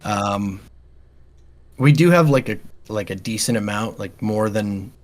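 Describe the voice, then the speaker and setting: Man, lowish pitch, quiet voice, calm and easygoing, casual interview chat. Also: a little slow. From Canada. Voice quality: quiet speaking voice. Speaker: metal band vocalist, podcast guest.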